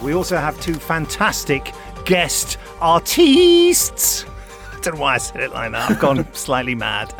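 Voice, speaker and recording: A man's voice: a little high, very animated, unhurried, creaky, fairly bright tone, soft background music. A man hosting a podcast in a recording studio, chatting casually and rambling with lots of restarts. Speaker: podcast host.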